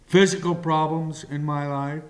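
A man preaching a communion sermon slowly and deliberately, pausing between phrases. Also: a little high, fairly even intonation. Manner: slow and deliberate, with long pauses.

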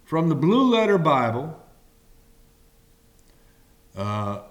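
A man preaching a communion sermon slowly and deliberately, pausing between phrases. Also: fairly high pitch, sing-song. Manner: slow and deliberate, with long pauses.

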